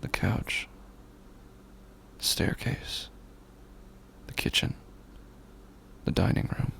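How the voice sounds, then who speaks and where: An actor playing a grieving, isolated, unhinged man in a first-person horror audio drama, breathy and gravelly, often whispering, with a halting, repetitive delivery. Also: a bit bright. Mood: hushed, numb.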